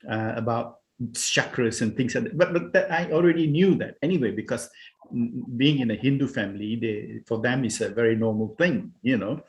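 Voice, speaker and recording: A man's voice: medium pitch, moderate pace. A man from Singapore, a spiritual healer and meditation teacher, talking as a guest in a casual interview over a video call, hesitant with many restarts. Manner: hesitant and searching, many restarts and "you know".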